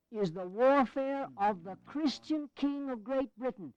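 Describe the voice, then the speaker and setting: An actor playing a haughty, indignant Southern delegate in a historical audio drama, speaking in pompous oratory, on a slightly dull recording. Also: male voice, very high-pitched, smooth.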